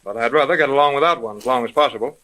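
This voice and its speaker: An actor playing a breezy, wisecracking young detective's assistant in a slangy, cocky 1930s radio drama style. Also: male voice, mid-range voice, very fast, sharp tone, close to an everyday voice, poor audio. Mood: breezy, eager. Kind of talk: 1930s old-time radio drama acting.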